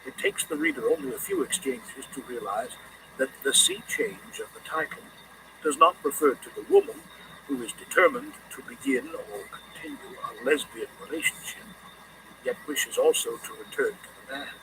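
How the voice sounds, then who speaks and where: A man narrating a scripted literary audiobook in a measured, deliberate reading manner. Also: mid-range voice, wildly swooping pitch, distant mic, background noise.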